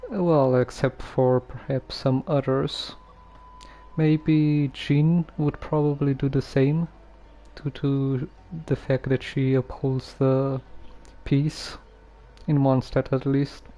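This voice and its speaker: Slow, mellow-voiced man streaming a game. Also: mid-range voice, flat delivery. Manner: hesitant, halting, musing. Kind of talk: casual game commentary and replies to characters.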